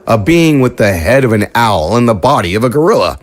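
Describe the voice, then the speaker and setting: A narrator reading an audio drama story in a measured, even way. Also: medium pitch. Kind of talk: scripted narration.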